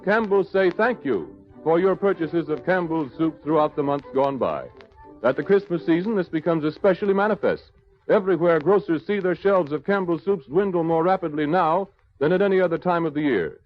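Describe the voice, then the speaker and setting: A male American announcer from 1930s radio with a fairly high-pitched voice. He reads sponsor copy formally and with measured polish. The broadcast sounds dull and dated, with music behind him.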